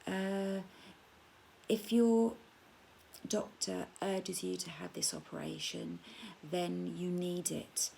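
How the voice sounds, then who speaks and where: A middle-aged woman, in pain and tired, speaking slowly about her own illness in a casual vlog at home, halting with many ums and restarts. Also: lowish voice, flat delivery, gravelly, mellow, slight background noise, low-quality recording. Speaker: patient sharing her experience.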